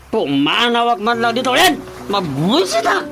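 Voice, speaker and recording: A man's voice: very high-pitched, huge pitch swings, slow pace, very noisy, exaggerated voice. A man acting a crazed, defiant, taunting wrongdoer in a radio drama, with wild outbursts. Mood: irritated, defiant. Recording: radio drama with music behind.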